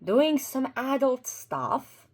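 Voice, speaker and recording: A Croatian woman whose first language is Croatian, talking slowly and deliberately through a commentary vlog. She pauses often and restarts her sentences, earnest and unhurried, seated at her desk. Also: high-pitched, animated. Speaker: YouTuber. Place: at a desk with two monitors.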